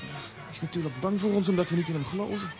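A man's voice: high-pitched, rapid-fire, music in the background, crowd noise. An actor playing an earnest young boy hero in a children's radio play.

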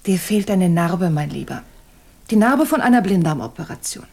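A woman's voice: fairly low pitch, expressive, moderate pace, creaky, darkish tone. An actress playing a frank, self-assured lady in a German radio crime drama, speaking candidly with a teasing edge. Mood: coolly pointed.

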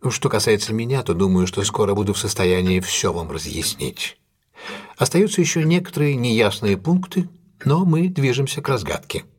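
An actor playing a shrewd, ironic gentleman detective in an audio drama, with a husky, measured, commanding delivery. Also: lowish voice, animated. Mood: confident. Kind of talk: Russian audio drama acting.

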